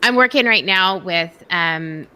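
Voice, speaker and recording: A woman hosting a casual panel discussion on a video call, talking in an impassioned, animated way with quick asides. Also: on the low side, over a noisy crowd.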